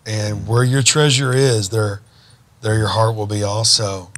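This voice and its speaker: A man's voice: fairly low pitch, fairly even intonation, unhurried, a bit bright. A middle-aged man hosting a studio talk show, speaking fervently in a preacher-like cadence with stacked phrases. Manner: fervent, preacher-like listing and exhortation. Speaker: host. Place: TV studio set.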